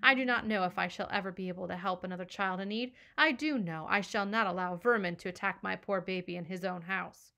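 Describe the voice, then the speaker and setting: Woman doing a stern foster mother, middle-aged, haughty, pompous formal diction, raised and loud. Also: mid-range voice, a little fast, creaky, few pauses. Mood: scolding.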